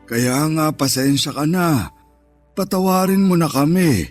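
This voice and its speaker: A man's voice: a little high, lively intonation, a little slow, faint music behind. A man playing a meek father of grown children in a radio drama, apologetic and submissive, with a Filipino accent.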